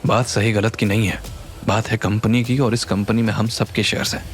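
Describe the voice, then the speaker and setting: Corporate shareholder role, voiced by a male narrator: deep, grave, insistent, audio drama with music. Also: level tone, normal speed, lightly acted. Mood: grave, pressing. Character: serious, cautious male company shareholder. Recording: background music under the voice.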